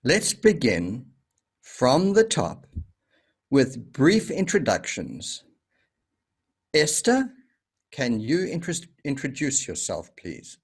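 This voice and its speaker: A male host speaks slowly and deliberately, his pitch rising and falling in a lively way. His voice carries a slight huskiness as he welcomes guests to an online meeting. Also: breathy edge. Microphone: video call.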